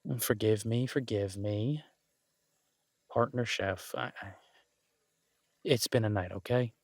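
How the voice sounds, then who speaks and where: A man playing an exasperated but affectionate restaurant chef in an intimate boyfriend audio roleplay, talking conversationally with halting, stammered restarts. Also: lowish voice, no echo. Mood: tender, sincere. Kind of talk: intimate boyfriend roleplay, one-sided casual dialogue.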